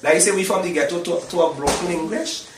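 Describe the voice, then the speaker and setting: A man's voice: sits high, fairly quick, noisy background. A Trinidadian man being interviewed in casual chat, often repeating words and checking "you understand?". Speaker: interview guest, singer. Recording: echoey room, mic at a distance.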